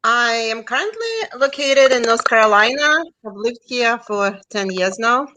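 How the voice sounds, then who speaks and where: A woman, talking from home over a webcam. Her voice is bright and clear, and she speaks softly and matter-of-factly, with plenty of quiet mm-hmms. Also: fairly high pitch, lively intonation, measured pace, low-quality recording. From Russia. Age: 40s. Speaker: guest. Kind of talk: conversational interview. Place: home room, indoors. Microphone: video call webcam.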